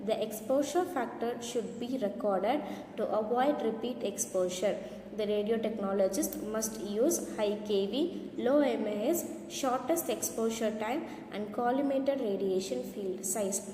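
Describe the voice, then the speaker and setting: A woman's voice: on the high side, a little slow, dark tone. Woman lecturing in an echoey room. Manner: careful, reading from notes with small slips. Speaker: lecturer in radiography. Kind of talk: read-out lecture. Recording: echoey room with long reverb, faint noise.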